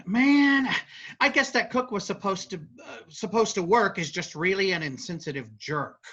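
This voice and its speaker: A woman acting in a staged play reading as an exasperated, pushy coworker with a raspy voice, on a lo-fi recording. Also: mid-range voice, moderate pace, fairly bright tone. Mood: pleading, annoyed. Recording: dull lo-fi sound.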